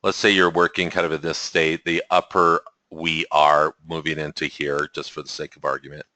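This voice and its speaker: Deep-voiced man teaching on a call. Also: moderate pace, no room sound. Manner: relaxed, chuckling, many restarts. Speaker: webinar host. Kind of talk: teaching webinar talk. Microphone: computer mic on a call.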